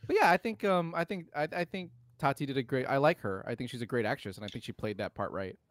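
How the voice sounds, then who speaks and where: A man's voice: mid-range voice, quick pace, no echo. A man co-hosting a podcast, chatting casually about film in a blunt way, swearing freely with exasperated emphasis. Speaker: podcast co-host.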